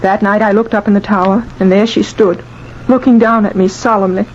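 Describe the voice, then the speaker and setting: Terrified young bride-to-be played by a woman, halting and dramatic, mid-range voice, muffled vintage radio drama. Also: medium pitch, fairly even intonation, natural voice. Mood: haunted, sombre. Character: frightened young bride-to-be haunted by a ghost. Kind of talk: 1950s radio drama acting. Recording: dull, noisy old radio recording.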